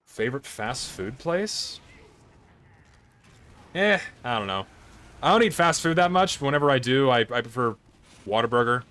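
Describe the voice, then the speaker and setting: A hoarse, tired young man chatting casually on a live stream, rambling and blunt with plenty of swearing. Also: mid-range voice, animated, moderate pace, pauses often, fairly bright tone.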